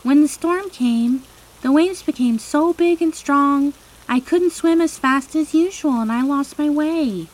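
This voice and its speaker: A woman's voice: high-pitched, measured pace, a little mellow, voice pushed up, poor audio. A woman voicing a sweet, timid young mermaid in a children's storybook, earnest and girlish. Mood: frightened, earnest. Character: frightened, sweet young mermaid lost from home.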